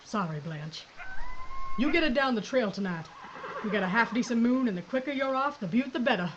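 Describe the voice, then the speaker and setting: A woman's voice: fairly high pitch, expressive, brisk, noisy background, close to an everyday voice. A woman playing a tough Old woman sheriff. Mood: determined. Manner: dry, blunt, folksy. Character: tough gun-toting woman sheriff, blunt and stubborn. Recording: dull lo-fi audio.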